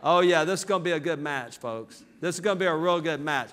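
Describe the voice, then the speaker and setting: A man from southern Alabama with a Southern American accent giving an energetic motivational business seminar, calling out to his audience for responses. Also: on the high side, fast talker. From southern Alabama, USA. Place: large hall with live audience. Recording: reverberant hall.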